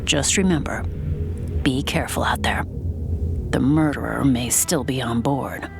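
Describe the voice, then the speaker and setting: Woman playing a gravelly, shrewd police sergeant. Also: rumbling low, a little slow, loud music behind the voice, full character voice. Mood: guarded. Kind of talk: science fiction audio drama acting.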